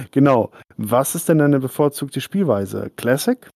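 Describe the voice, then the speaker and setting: A man's voice: medium pitch, lively intonation, slow pace, creaky, darkish tone, slightly rough, no echo, studio quality. A man hosting a casual hobby podcast, chatty and rambling, often laughing. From Germany. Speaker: podcast host. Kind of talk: casual chat.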